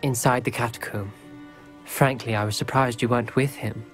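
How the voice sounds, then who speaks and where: A man's voice: mid-range voice, fairly even intonation, normal speed, a bit bright, soft background music, lightly acted. Man acting a grave, worried schoolboy wizard. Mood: reproachful. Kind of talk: video game dialogue acting.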